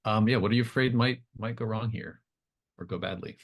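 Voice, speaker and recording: Low-voiced male game master, halting delivery. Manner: hesitant, halting phrasing, thinking aloud. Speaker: game master running a tabletop horror RPG. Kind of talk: improvised game narration.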